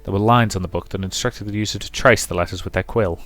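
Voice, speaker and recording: A man's voice: low voice, quick pace, fairly bright tone. A man reading a visual novel's story narration aloud as a let's play narrator. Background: music.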